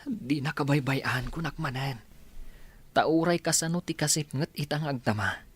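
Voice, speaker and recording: A woman's voice: low voice, normal speed, slightly rough. A woman with an Ilocano accent acting a concerned, supportive companion in a village radio drama. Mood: consoling. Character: Marson, concerned supportive companion of grieving Margaret.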